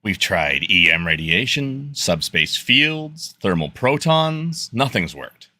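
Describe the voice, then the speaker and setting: Man as practical starship engineer, lowish pitch, lively intonation, earnest, matter-of-fact, sci-fi radio play. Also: takes it slowly, dry recording, clean studio sound, full character voice. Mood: earnest, businesslike. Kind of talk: podcast live script reading.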